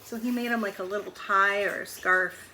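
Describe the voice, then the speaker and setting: A woman's voice: medium pitch, fairly even intonation, moderate pace, bright, forceful tone. A woman reading a picture book aloud to children in a chatty way, explaining words and pictures and asking questions along the way. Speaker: read-aloud storyteller. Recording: roomy echo, faint background noise.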